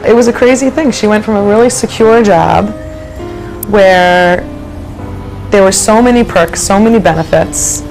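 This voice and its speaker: Woman interviewee, halting recollection, gravelly fry, music clearly under her. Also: mid-range voice, darkish tone, rough recording. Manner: halting, with restarts. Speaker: friend interviewed about her.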